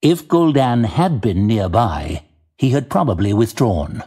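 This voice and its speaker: Husky male narrator, low voice, measured scripted storytelling. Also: big pitch swings, warm tone.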